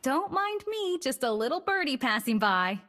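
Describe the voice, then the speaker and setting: A woman's voice: high voice, sing-song, normal speed, very noisy. A woman voicing a cocky, agile young female assassin in a video game, teasing and playful in her lines.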